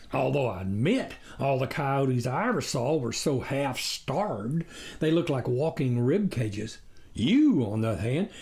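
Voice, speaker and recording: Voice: mid-range voice, creaky, darkish tone. A narrator playing a no-nonsense farmer, a husband who is mannerly but suspicious, blunt and questioning.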